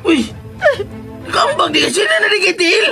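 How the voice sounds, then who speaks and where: An actor playing an excitable, timid, whiny houseboy in a comic radio drama. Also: male voice, very high-pitched, normal speed, soft background music, heavily acted. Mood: flustered.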